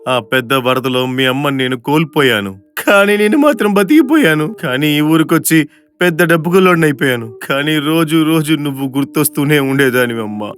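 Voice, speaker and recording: Older father for animated moral tale, male actor: emotional, dramatic, raised pitch, light music bed. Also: a little fast. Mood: remorseful, tearful. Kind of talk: animated moral story dub.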